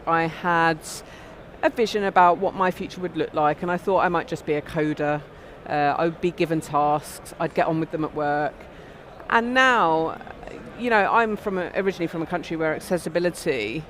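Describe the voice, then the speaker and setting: A man, working as a sign language interpreter and voicing a signing guest in the first person, in a measured, slightly halting way. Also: on the high side, a bit bright.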